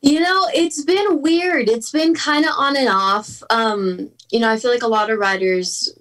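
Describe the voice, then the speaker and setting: A woman's voice: a little high, unhurried, fairly bright tone, recorded from a distance. A young woman from Southern California chatting casually in an interview, upbeat with fillers. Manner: chatty, upbeat, many fillers and small laughs. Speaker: interview guest, singer.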